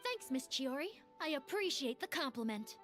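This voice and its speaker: A woman's voice: high voice, expressive, normal speed, a bit bright. A woman voicing a perky, eager young reporter in an anime game, with an enthusiastic, theatrical delivery. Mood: cheerful. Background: music.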